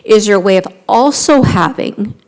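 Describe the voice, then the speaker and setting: A woman's voice: medium pitch, normal speed, a little mellow. A middle-aged woman with a Canadian accent, a town councillor asking questions at a council meeting, with many fillers and restarts, speaking into a desk microphone in a council chamber.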